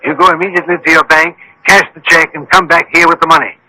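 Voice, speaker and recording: An actor playing a brisk, self-assured doctor-detective in a vintage radio mystery, formal, authoritative and dryly witty. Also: male voice, fairly high pitch, fairly even intonation, bright, forceful tone. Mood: brisk, commanding. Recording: noisy old radio broadcast recording.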